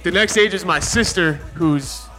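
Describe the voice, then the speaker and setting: A man's voice: high-pitched, normal speed, sharp tone, soft background music, poor audio. A man in his twenties co-hosting a podcast, telling a story in a laid-back, joking way. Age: 20s. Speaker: podcast co-host. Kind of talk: casual chat.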